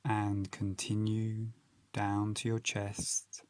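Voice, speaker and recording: A man leading a guided meditation in a calm, unhurried, instructional manner with long pauses. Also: lowish voice, dry recording.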